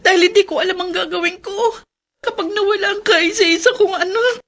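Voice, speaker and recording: A woman's voice: very high voice, fairly even intonation, a little fast, fairly bright tone. An actress playing an anguished mother afraid for her only child in a radio drama. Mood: anguished, determined.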